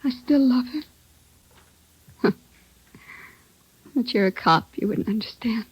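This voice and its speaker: An actress playing a plaintive young wife in love with a criminal, in 1940s radio melodrama on an old recording. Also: female voice, fairly high pitch, takes it slowly, breathy edge, no echo, exaggerated voice. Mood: tearful, heartbroken. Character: emotional, lovelorn young wife of a wanted killer. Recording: dull old radio recording, faint noise.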